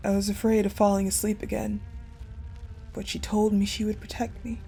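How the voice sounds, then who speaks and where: A woman playing a wounded, vengeful ice-powered general in a dramatic audio roleplay monologue, halting and breathy, often slipping toward a whisper. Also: mid-range voice, flat delivery, warm tone, slight background noise, lightly acted. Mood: tender, mournful.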